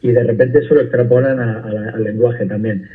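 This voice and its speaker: A man's voice: medium pitch, fairly even intonation, a little fast. A man, an actor being interviewed on the radio, explaining his work thoughtfully at length with small restarts. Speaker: actor guest. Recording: phone-like line.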